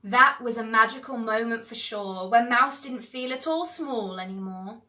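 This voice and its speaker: A woman with a bright, fairly high voice talks and reads to young children. She is expressive and animated, leaving dramatic pauses. The microphone sits a little way off from her. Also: recorded from a distance. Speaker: children's storyteller. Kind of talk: expressive picture-book reading and chat to young viewers. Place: livestream on several screens.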